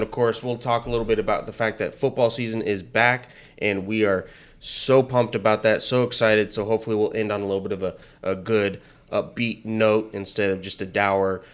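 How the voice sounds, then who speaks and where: A man co-hosting a casual political podcast, talking in energetic bursts with frequent restarts. He has a higher voice than his co-host. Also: moderate pace, clean tone. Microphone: headset mic with headphones.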